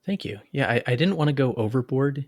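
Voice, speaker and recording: A man's voice: medium pitch, expressive, a little fast, no room sound, clean studio sound. A man co-hosting a podcast, talking in a casual, chatty way and often hesitating mid-thought as he works out what to say. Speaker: podcast co-host and reader.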